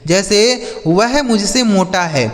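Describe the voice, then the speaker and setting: A man's voice: sits high, lively intonation, slow, big-room sound. A man teaching English vocabulary, spelling each word letter by letter and repeating its meaning several times. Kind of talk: slow spelled-out vocabulary lesson.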